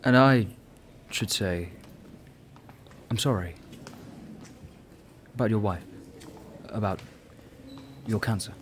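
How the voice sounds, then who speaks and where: An actor in a radio play playing an ambitious young privately schooled receptionist, polite and slyly insinuating. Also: male voice, fairly low pitch, takes it slowly, long pauses, slight background noise. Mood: courteous. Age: young adult.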